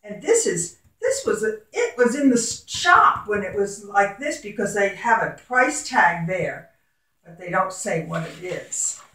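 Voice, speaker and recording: A woman's voice: mid-range voice, big pitch swings, a little slow, a bit bright. A woman who is a former teacher, reading a picture book aloud to young children in her home classroom, asking coaxing, sing-song questions. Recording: very echoey room, voice far from mic.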